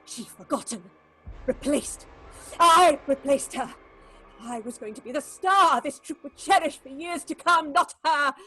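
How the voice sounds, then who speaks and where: High-voiced, unhinged actress confessing murder. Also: female voice, fairly bright tone. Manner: melodramatic, ranting, unhinged. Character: guilt-crazed, jealous actress confessing murder. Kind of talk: theatrical horror audio drama.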